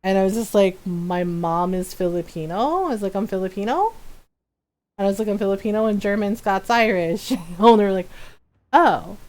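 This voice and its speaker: A woman's voice: medium pitch, normal speed. Casual American woman streamer telling personal stories. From United States. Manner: animated retelling with acted-out quoted dialogue. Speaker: live streamer.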